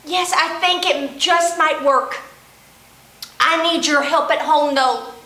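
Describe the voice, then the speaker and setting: A woman's voice: high-pitched, takes it slowly, sharp tone, pitched below the performer's own voice, heavily acted. A woman voicing a fretful little penguin with hiccups, sighing dramatically and talking straight to the listeners. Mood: pleading. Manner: dramatic, sighing, talks to the audience. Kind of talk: children's storytime puppet play. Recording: roomy echo.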